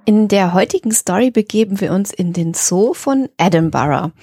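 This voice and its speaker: A woman's voice: medium pitch, expressive, moderate pace, warm tone, slightly rough, no echo, clean studio sound, faint music behind. A woman co-hosting a podcast, telling a story casually in a playful, teasing way. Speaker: podcast co-host.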